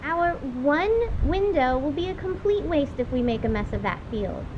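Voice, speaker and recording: A woman's voice: high-pitched, animated, moderate pace, highs cut off, noisy background. A woman voicing a small, playful child in an audio drama. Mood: protesting.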